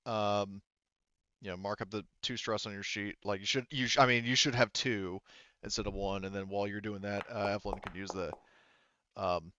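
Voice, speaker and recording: A man's voice: fairly low pitch, a bit bright, smooth, no room sound, highs cut off. A man casually running a tabletop roleplaying game as game master, explaining rules informally and thinking aloud.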